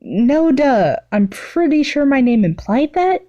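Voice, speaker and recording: A woman's voice: high-pitched, very animated, unhurried, mellow, dull audio, voice pushed up. A woman voicing an irritable, cocky, sarcastic young female supervillain in an exasperated, dismissive manner for a fan story. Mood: smug.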